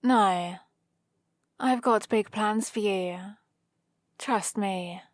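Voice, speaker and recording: A British woman playing a proud, scheming supervillain with a soft spot, in a teasing, intimate ASMR roleplay. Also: mid-range voice, drawn-out words, dry recording. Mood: proud, evasive. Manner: teasing, sardonic, casually conversational. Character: proud scheming female supervillain, gruffly caring for a rescued hero.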